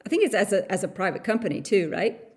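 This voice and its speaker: A woman's voice: mid-range voice, sing-song, fast. A woman from Canada hosting a podcast interview in a playful, chatty way. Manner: playful, laughs easily. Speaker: podcast host. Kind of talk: casual interview chat.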